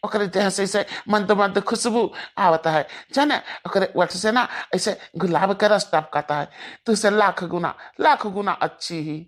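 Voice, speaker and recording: A woman's voice: mid-range voice, natural voice. A woman voicing a scolding, hot-tempered villager in a cartoon family quarrel, with an Awadhi accent and combative, repetitive delivery. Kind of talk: cartoon family drama acting.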